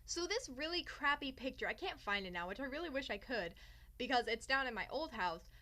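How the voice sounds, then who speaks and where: A young woman with a high, very bright voice chats casually through a personal story. She is theatrical and sarcastic, poking fun at herself as she goes. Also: lively intonation, a little fast. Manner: theatrical, sarcastic, self-mocking. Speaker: vlogger telling a personal story. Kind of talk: casual storytime chat to camera.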